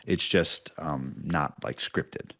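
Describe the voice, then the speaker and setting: A man's voice: very deep voice, takes it slowly, creaky, fairly bright tone. A man speaking as an interview guest, explaining his work in an informal, conversational way. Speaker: podcast producer, interview guest. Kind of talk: casual explanatory interview talk.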